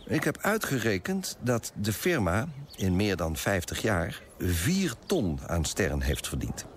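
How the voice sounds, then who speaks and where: Smug moralising merchant, pompous, swooping intonation, radio play, clear noise behind. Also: male voice, on the low side, huge pitch swings, a little slow. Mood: self-satisfied, pompous. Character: pompous, self-righteous, penny-pinching Dutch coffee broker. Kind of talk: radio drama monologue.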